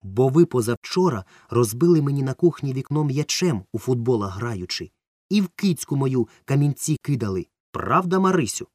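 A man's voice: medium pitch, lively intonation, normal speed, a little mellow, no echo, studio quality, voice pushed up, close to an everyday voice. A man voicing a sly, cackling old witch woman who teases and sings her lines. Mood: teasing. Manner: singsong, teasing.

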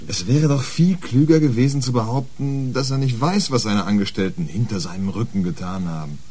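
An actor playing a matter-of-fact police inspector in a radio drama, dry and official in manner. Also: male voice, mid-range voice, brisk, warm tone, slightly rough, close to an everyday voice. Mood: sceptical.

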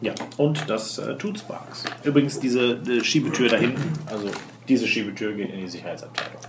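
A man acts as game master, describing scenes and rulings to his players, often rewording as he goes. His pitch is average for a man. The recording is roomy and clearly noisy. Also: fairly quick. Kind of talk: narrating and refereeing a tabletop role-playing game. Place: indoor room with other players.

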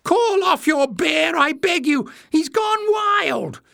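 A man voicing a whining, cowardly little schemer in a shrill, squeaky, spluttering storybook voice. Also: lively intonation, slow pace, gravelly, pushed and bright, no room sound, clean studio sound, heavily acted. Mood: spluttering pleading. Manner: spluttering, whining, melodramatic pleading. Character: whining, cowardly small male schemer, screechy and wheedling. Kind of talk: acted character voice in audiobook narration.

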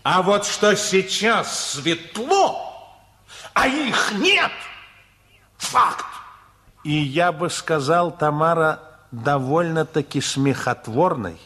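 A man's voice: on the high side, sing-song, slow pace, long pauses, pushed and bright, full character voice. An actor playing a wry, teasing, sceptical young man in a radio play. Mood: scornful.

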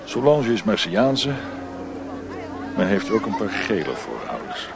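An actor playing a worldly, detached naval officer in a radio drama, clipped and matter-of-fact. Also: male voice, lowish voice, wildly swooping pitch, a little slow, gravelly, a crowd in the background, noisy background, exaggerated voice. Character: worldly, detached male naval officer who smokes opium. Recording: distant voice in busy outdoor scene.

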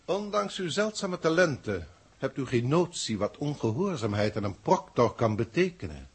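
An actor playing a haughty, authoritative alien in human form, stately and commanding, in a 1960s radio drama. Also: male voice, medium pitch, sing-song, normal speed, slightly rough, heavily acted. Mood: lofty, calm. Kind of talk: 1960s Dutch science-fiction radio acting.

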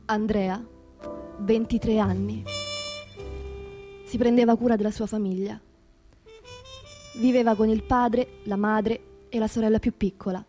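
A woman giving scripted theatrical narration as a stage narrator, solemn and measured, with deliberate phrasing. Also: on the high side, steady tone, mellow, soft background music.

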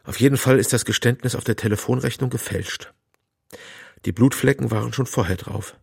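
Grim cop in radio play, gravelly and husky, matter of fact, dry close sound. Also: male voice, mid-range voice, a little fast, darkish tone, dry recording. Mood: grim, frustrated. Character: male police detective, grim, frustrated, matter-of-fact. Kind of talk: German audio drama acting.

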